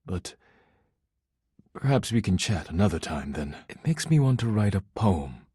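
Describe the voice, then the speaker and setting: An actor playing a formal, proud and earnest man in a video game, speaking in measured, precise phrases. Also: deep voice, big pitch swings, warm tone, slightly rough, dry recording, clean studio sound, heavily acted. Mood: guarded, civil. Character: formal, proud, earnest man devoted to his work and art. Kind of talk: video game character voice acting.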